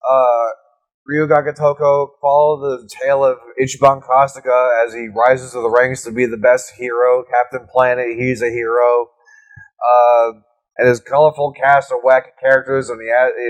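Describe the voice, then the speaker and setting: A man's voice: medium pitch, low-quality recording. A young man co-hosting a podcast, chatting casually with crude jokes and frequent swearing. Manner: joking and crude. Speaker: podcast co-host. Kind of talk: casual chat.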